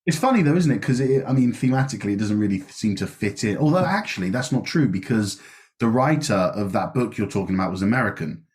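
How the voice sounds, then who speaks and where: Chatty low-voiced man. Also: expressive, quick pace, darkish tone. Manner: chatty, asks tag questions, lists facts. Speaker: podcast co-host. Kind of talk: casual chat.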